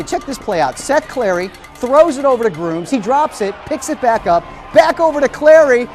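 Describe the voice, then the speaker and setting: An American man anchoring high school football highlights in an excited, punchy broadcast delivery. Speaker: sports anchor. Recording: TV sports broadcast with music behind. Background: music bed.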